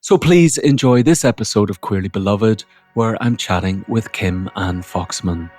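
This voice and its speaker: A man's voice: lowish voice, moderate pace, darkish tone, faint music behind. A man with a Northern Irish accent hosting a podcast, introducing and interviewing a guest. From Northern Ireland. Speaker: podcast host.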